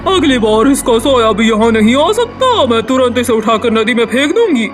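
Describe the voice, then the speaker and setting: A woman's voice: on the high side, very animated, moderate pace, faint music behind. A woman voicing a frightening, commanding demoness in a cartoon, stern and menacing. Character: terrifying female demoness, menacing but able to relent. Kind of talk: Hindi cartoon character voice.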